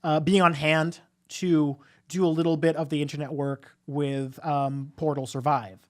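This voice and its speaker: A woman's voice: low voice, level tone, unhurried, darkish tone. A woman co-hosting a live podcast, chatting casually about films in a rambling way with emphatic agreement. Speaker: podcast co-host.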